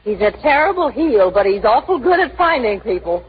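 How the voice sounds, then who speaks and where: Husky, brassy wisecracking dame. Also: female voice, a little high, sing-song, normal speed, full character voice. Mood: blunt. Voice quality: husky, raspy. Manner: slangy, wisecracking. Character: brassy, scheming, soft-hearted woman posing as a lady doctor. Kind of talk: 1940s radio comedy-drama acting. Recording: muffled 1940s radio recording.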